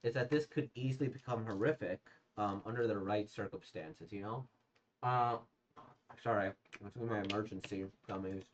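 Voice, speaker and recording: A hoarse, slightly congested man casually reacting to manga he has read, rambling with many fillers and restarts. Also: on the low side, moderate pace, slight background noise. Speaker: manga reader and reviewer. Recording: dull lo-fi sound.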